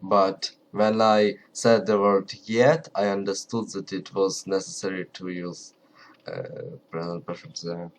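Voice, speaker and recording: A man with a Ukrainian accent, English not his first language, talking quietly and carefully to camera and often pausing to search for words. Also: low-pitched, lively intonation. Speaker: video creator. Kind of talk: casual talk to camera.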